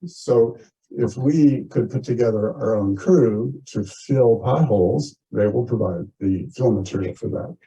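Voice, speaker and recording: A man's voice: mid-range voice, a little slow, mellow, slightly rough. An American man chairing a community meeting, explaining things plainly and informally, heard in muffled audio. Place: community meeting, shared over video call.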